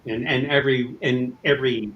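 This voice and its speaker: A man with a medium-pitched voice talks as an interview guest. His delivery is casual and a little rambling. There is some noise behind him on the recording. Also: steady tone, brisk, muffled recording. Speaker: guest, writer-producer of an audio drama. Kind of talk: casual promotional interview chat.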